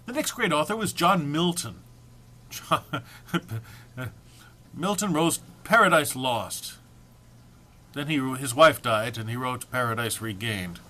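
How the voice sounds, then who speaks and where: A man's voice: mid-range voice, expressive, slow, long pauses, bright, forceful tone. A man giving an amateur, theatrical dramatic reading of a humorous text, prone to amusement and stumbles. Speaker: amateur reader.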